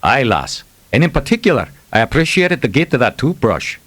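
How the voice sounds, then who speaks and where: A man's voice: medium pitch, big pitch swings, a little fast, fairly bright tone, pitched above the performer's own voice. Man playing a courteous wandering survivor, Scottish lilt. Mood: teasing, courteous. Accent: Scottish burr in places. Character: world-weary wandering male survivor, gently teasing, courteous. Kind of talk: audiobook character dialogue.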